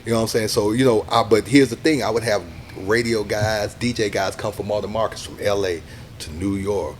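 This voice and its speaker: A middle-aged man, a radio DJ talking casually in an interview, animated and acting out what other people say. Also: on the low side, fairly quick, slight background noise. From Texas, USA. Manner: animated, acts out quoted people, says "you know what I'm saying" a lot.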